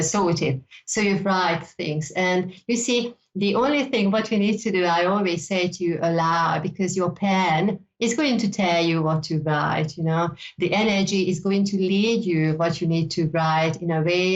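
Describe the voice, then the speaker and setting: A woman with a Hungarian accent speaks in a slightly low voice as host of a psychic show. She teaches in a loose, rambling way, repeating herself and leaning on "you know". The recording sounds muffled and lo-fi. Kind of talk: casual teaching talk. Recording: dull lo-fi sound.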